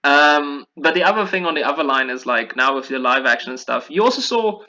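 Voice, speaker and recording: A man's voice: fairly high pitch, lively intonation, fairly quick, pushed and bright, dull audio. A man chatting casually and enthusiastically about video games in an informal way, as a podcast host.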